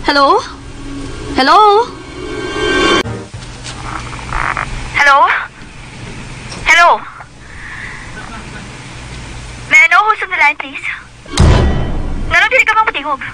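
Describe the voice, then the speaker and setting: A woman's voice: very high-pitched, noisy background. A woman acting an anxious, outspoken young woman in a radio drama, emotional and emphatic. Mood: puzzled.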